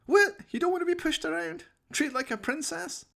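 A man's voice: very high-pitched, moderate pace, creaky, pushed and bright, pitched above the performer's own voice, heavily acted. A man playing an exasperated, sweary, scruffy bloke in a radio play, chiding in colloquial speech. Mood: incredulous. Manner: colloquial, chiding, peppered with "aye" and "eh".

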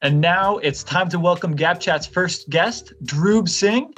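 A man's voice: high voice, slow, a bit bright, soft background music. A young man co-hosting a podcast, with a friendly, upbeat delivery. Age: young adult. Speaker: podcast co-host.

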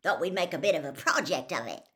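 A woman voicing a huge, cheerful half-giant gamekeeper in an audiobook, loud and enthusiastic. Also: low-pitched, animated, quick pace. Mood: hearty. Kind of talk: audiobook character voice.

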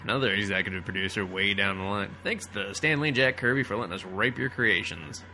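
A low-pitched man with a very bright, cutting voice does casual comic commentary as a co-host. He is sarcastic and crude in his joking. There is faint noise on the recording. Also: fast. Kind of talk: casual comic riffing over a film.

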